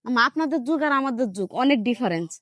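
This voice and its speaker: A young village bride played by a woman with a high voice and brisk delivery. She justifies herself and talks back in a rural Bangladeshi accent, in a domestic drama with a dry sound. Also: animated, fairly bright tone, no echo. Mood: defiant. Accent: rural Bangladeshi Bengali. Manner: argumentative, self-justifying. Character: young newlywed daughter-in-law, defensive, talking back.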